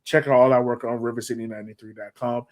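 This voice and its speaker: A man's voice: mid-range voice, steady tone, quick pace. A man hosting a casual soccer podcast, chatting in a slangy, informal way. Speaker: podcast host.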